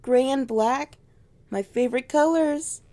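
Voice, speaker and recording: A woman acting as a tsundere alpha she-wolf in a romance audio roleplay. Her voice is high and soft-edged, mellow in tone, and she takes her time. She is bossy and teasing on top, easily flustered underneath. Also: measured pace, a little hiss or hum. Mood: excited, admiring.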